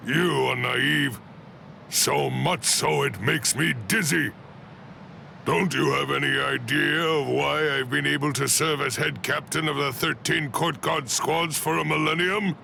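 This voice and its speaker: An actor playing an ancient, stern and proud warrior commander in an anime video game, with a gravelly voice and a grave, imperious delivery. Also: male voice, a little high, steady tone, slow pace, bright, forceful tone, slight background noise, exaggerated voice. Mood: scornful, confident. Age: elderly. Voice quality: gravelly, creaky.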